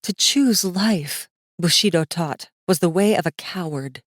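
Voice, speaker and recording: A woman's voice: fairly low pitch, unhurried, no echo. A woman reading scripted fantasy fiction as a dramatic audiobook narrator. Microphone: close studio microphone. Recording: dry studio recording.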